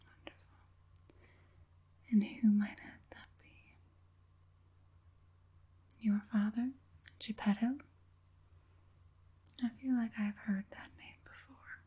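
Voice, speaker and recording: A woman voicing an evil witch puppet master in an ASMR roleplay, mostly whispering in a breathy, deliberate, murmuring way. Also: medium pitch, fairly even intonation, dark tone. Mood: soothing, sinister. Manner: slow, deliberate, murmuring assent between pauses.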